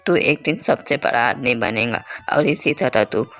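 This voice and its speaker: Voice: mid-range voice, animated, measured pace, a bit bright. A performer voicing a kindly old forest woman in a Hindi cartoon moral story, grateful and full of blessings. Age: older. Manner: grateful, blessing, addressing listener as child.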